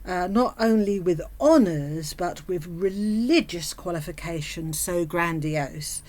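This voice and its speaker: A woman narrating a scripted comic story in a sardonic, theatrical manner, with mock-grand wording and drawn-out dramatic pauses. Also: lowish voice, darkish tone. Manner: mock-grand wordy phrasing. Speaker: storyteller host.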